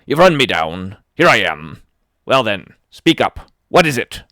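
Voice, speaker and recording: Gruff old captain, shouting and defiant; male narrator voicing him. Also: on the low side, big pitch swings, unhurried, dry recording, clean studio sound, full character voice. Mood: blustering. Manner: blustering, barking. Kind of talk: audiobook character voice.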